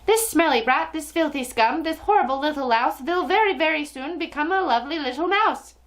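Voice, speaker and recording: A tyrannical witch queen from a children's storybook, acted out by a woman reader. The voice is pitched well up, commanding and theatrical. She proclaims with wicked glee. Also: sing-song, extremely slow, a bit bright, exaggerated voice. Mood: gleeful, vicious. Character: gleeful, tyrannical Grand High Witch, syrupy when luring.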